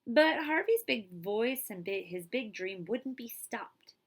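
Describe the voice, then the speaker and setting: A woman librarian reading a picture book aloud to children, with expressive, emphatic phrasing and casual asides. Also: fairly high pitch, takes it slowly, slightly rough.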